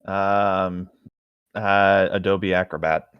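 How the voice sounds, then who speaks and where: A man's voice: low voice, level tone, takes it slowly, clear, resonant tone, no room sound, dull audio. A man chatting casually and reading a story aloud among friends. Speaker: podcast co-host.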